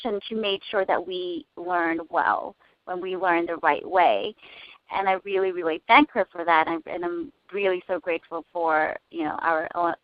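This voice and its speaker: A young woman who grew up speaking Cantonese, talking in a casual interview in an earnest, thoughtful way with frequent pauses to find her words. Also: fairly low pitch, no room sound. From United States. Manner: earnest, thoughtful, many hesitations. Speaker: interview guest. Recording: dull lo-fi dry recording.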